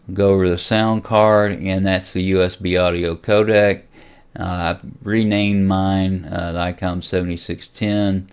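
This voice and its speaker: A man with a deep, smooth voice presents an informal software tutorial. He speaks off the cuff, hesitating and thinking aloud as he moves from step to step. The tone is that of a hobbyist host explaining a setup. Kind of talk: casual software walkthrough.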